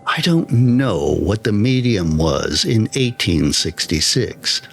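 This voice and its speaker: A man narrating a scripted first-person horror story in a measured, deliberate, solemn manner. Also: fairly low pitch, sing-song, creaky. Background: background music.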